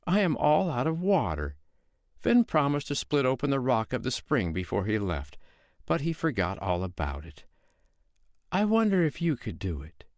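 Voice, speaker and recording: A man doing a high, coaxing shrewd mother. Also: wildly swooping pitch, moderate pace, darkish tone, no room sound, clean studio sound. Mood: sly. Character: calm, shrewd woman, a foster mother.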